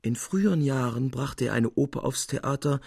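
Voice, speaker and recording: A male narrator reads classic literary prose. His delivery is precise and theatrical, with a husky grain to a medium-pitched voice. The sound is very dry and close. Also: lively intonation, moderate pace, darkish tone, no echo. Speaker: narrator, reader. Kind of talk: expressive scripted literary narration.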